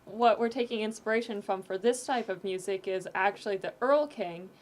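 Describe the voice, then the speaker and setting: A woman talks through her audio drama project on a relaxed podcast. She explains things step by step, sometimes stopping and restarting a sentence as she thinks. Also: medium pitch, normal speed. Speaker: audio drama creator, guest. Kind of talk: casual podcast conversation.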